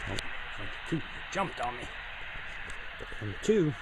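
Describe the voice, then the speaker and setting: A man's voice: medium pitch, very animated, slow, very noisy. A man giving a casual hands-on demonstration to camera, plain-spoken and thinking aloud as he works. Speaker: host of a hobby radio video.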